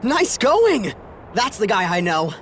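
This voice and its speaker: An eager, lively young companion. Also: female voice, medium pitch, slow, slight background noise. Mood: excited. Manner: bright, excited, questioning.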